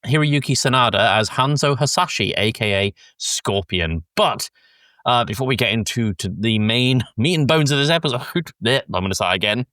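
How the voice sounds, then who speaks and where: A man who is a bit sick hosts a casual film discussion. His voice is bright and forward at a middling pitch, recorded close and dry. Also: animated, normal speed, no room sound. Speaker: podcast host.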